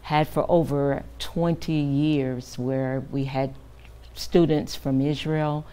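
A woman's voice: low-pitched, steady tone, slow, mellow, smooth. An older woman guest in a TV studio interview, speaking earnestly and measuredly with frequent fillers, on a lapel mic. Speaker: guest, director of a children's camp. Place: TV news studio.

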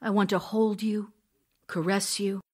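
An actress playing a passionate, impatient, loving stage actress in an audio drama of love letters, speaking in an ardent, demanding, theatrical way. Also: female voice, medium pitch, level tone, measured pace, a little mellow, slightly rough. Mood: longing.

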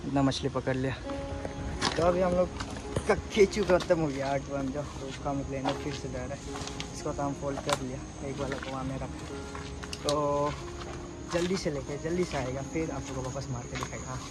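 A man's voice: medium pitch, fairly even intonation, normal speed, over loud music. A young man from Northeast India chatting casually on a vlog while fishing outdoors by a river, laughing often and mixing in English words. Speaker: vlogger. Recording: noisy outdoor riverside recording. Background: river water and construction noise.

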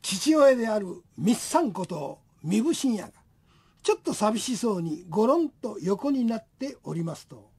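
A woman's voice: mid-range voice, big pitch swings, moderate pace, a little mellow, slight background noise, lightly acted. A woman narrating a comic family ghost tale as the storyteller of a radio drama. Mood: storytelling. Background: music.

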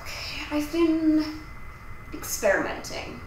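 A woman plays a sheepish female roommate in a naturalistic drama. She speaks haltingly and slowly in a fairly high voice, set back in a very echoey room. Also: lively intonation. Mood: awkward, confessional. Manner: hesitant, halting. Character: sheepish female roommate hedging toward awkward confession. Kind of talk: naturalistic acted scene. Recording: distant echoey room, clear background noise.

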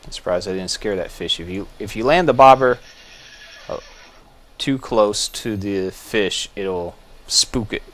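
A man casually talking through a game as he plays, relaxed and musing, thinking aloud with small restarts. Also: on the low side, unhurried. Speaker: gameplay video host. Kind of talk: casual gameplay commentary.

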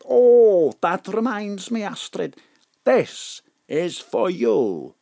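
Radio play role: grandiose Archdruid, high, swooping, effusive declamation. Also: male voice, big pitch swings, drawn-out words, vocal fry, dark tone, exaggerated voice. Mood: ceremonious. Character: excitable, grandiose Welsh male Archdruid.

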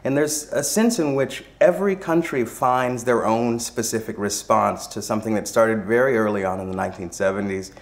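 A man narrating a documentary in a measured, reflective and articulate way. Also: on the low side. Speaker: visual artist presenting the film.

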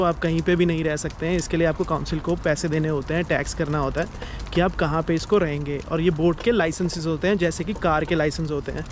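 A man's voice: on the high side, slight background noise. A man from India giving casual commentary to viewers while he walks outdoors along a canal. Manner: explains things to viewers while walking. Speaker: vlogger. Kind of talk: casual walking-tour commentary.

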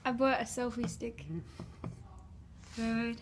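A woman's voice: a little high, little pitch movement, slow pace, slight background noise. A young adult woman with an Australian accent, chatting casually and playfully into a handheld phone as a video diary. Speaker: vlogger. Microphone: phone at arm's length.

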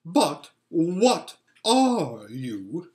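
Man putting on an imperious evil queen, swooping pitch. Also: wildly swooping pitch, drawn-out words, darkish tone, heavily acted. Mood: haughty, demanding. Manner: commanding, emphatic, pompous. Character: haughty, imperious, menacing evil queen, adult woman. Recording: roomy indoor home recording.

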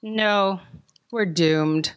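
A woman's voice: mid-range voice, very slow, clean tone. A woman playing an impatient, imperious editor boss in a staged comedy audio drama, with a dry, commanding delivery. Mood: dry, stern. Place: theatre stage. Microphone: stand microphone.

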